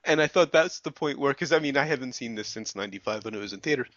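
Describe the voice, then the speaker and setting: Man chiming in with quick corrections. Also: mid-range voice, expressive, fairly bright tone, dry recording, lo-fi sound. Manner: short interjections and quick corrections. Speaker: podcast co-host. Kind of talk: casual film review chat.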